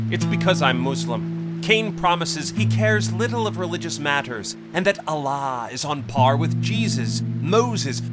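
Pompous, aggrieved court financier over loud music. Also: male voice, medium pitch. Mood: proud, resentful. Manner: pompous, self-justifying, formal diction. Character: proud, aggrieved male court financier speaking from the dead. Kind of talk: theatrical audio drama monologue. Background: plucked guitar music.

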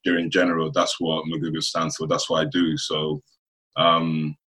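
A man from Nigeria chatting casually as an interview guest, often halting and rephrasing as he goes. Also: a bass voice, completely flat delivery, bright, forceful tone. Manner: halting, circling back to rephrase. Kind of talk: casual chat. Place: remote video call.

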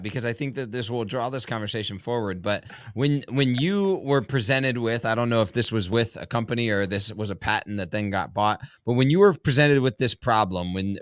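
A man's voice: medium pitch, brisk, hardly any pauses, no echo, clean studio sound. A man hosting a casual interview, rephrasing his questions as he works through them. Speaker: podcast host, interviewer.

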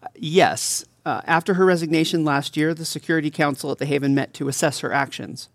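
A woman playing a gracious, modest director of a care center, speaking in a measured interview manner. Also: low voice, fairly even intonation, no echo. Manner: courteous, measured. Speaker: interview guest. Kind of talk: scripted drama interview.

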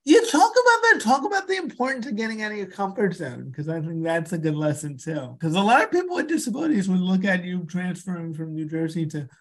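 A man's voice: sits high, big pitch swings, fast, few pauses, fairly bright tone. A man hosting a podcast interview, encouraging and repetitive, full of "like" and "you know". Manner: encouraging, repeats himself, lots of fillers. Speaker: podcast host. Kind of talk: casual interview chat.

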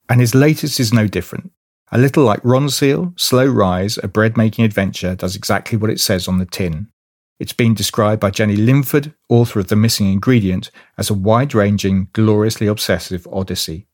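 A man's voice: on the low side, no room sound. Male podcast host with a dry, close sound. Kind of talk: scripted introduction, then conversational interviewing.

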